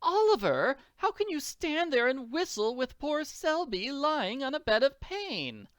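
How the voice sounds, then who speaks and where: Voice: high voice, very animated, a little slow, a bit bright, dry recording, clean studio sound, pitched above the performer's own voice, heavily acted. A performer voicing a haughty, melodramatic wife from a comic strip, nagging in indignant, overwrought exclamations. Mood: upset, indignant. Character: shrill, scornful rich wife, nagging and melodramatic.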